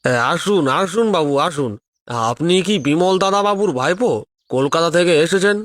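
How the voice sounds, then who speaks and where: A man playing a deferential, inquisitive village tea-stall keeper in an animated story, probing with some hesitation. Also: high voice, normal speed, dry recording, clean studio sound, lightly acted. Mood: eager, deferential. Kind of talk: animated audio story dialogue.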